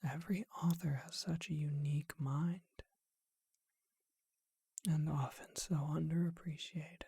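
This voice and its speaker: A soft, very breathy vampire acted out by a performer for an ASMR roleplay, courteous and bookish. The words come slowly in a dark, intimate whisper, close and dry. The manner is refined and musing, as befits someone ancient and curious. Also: medium pitch, steady tone, no room sound, heavily acted. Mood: intimate, reflective. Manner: refined, formal wording, unhurried and musing.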